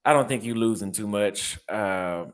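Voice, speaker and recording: Casual vlogger, young man with a Texas accent, lowish voice, loose and rambling delivery. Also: measured pace. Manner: loose, rambling, reacting aloud with repeated phrases. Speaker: vlogger and barber. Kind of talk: casual vlog, browsing apartment listings.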